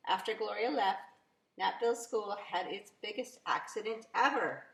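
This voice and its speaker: A woman reading a picture book aloud to young children as a teacher, very expressively, with drawn-out emphasis. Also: mid-range voice, expressive, vocal fry. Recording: roomy, echoing sound.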